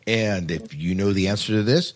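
Podcast host: male, deep and rough-edged voice, loose conversational delivery, informal and a bit rambling. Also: moderate pace. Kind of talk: casual hosting chat.

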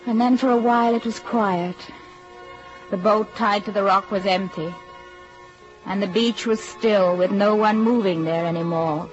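An actress playing a devoted, anxious young army nurse in a vintage radio drama, with earnest emotional delivery. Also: female voice, mid-range voice, unhurried, natural voice. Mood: sorrowful. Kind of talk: vintage wartime radio drama acting and narration. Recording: muffled old radio drama with music behind.

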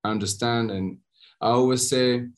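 A man from France with a French accent, a podcast guest telling his story in a halting way with frequent pauses and restarts. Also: medium pitch, fairly even intonation. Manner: halting, many uh fillers and restarts. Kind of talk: conversational interview storytelling.

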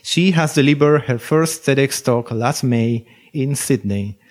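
A man's voice: mid-range voice, measured pace, a little mellow. A man hosting a podcast, reading a formal introduction and then interviewing his guest. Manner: formal, read-aloud delivery. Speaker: podcast host.

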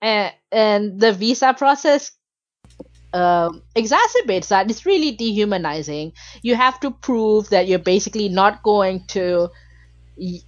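A woman's voice: mid-range voice, lively intonation, a little slow, dry recording, dull audio. A woman from Malaysia, a guest in a casual interview, talking with passion and many filler words.

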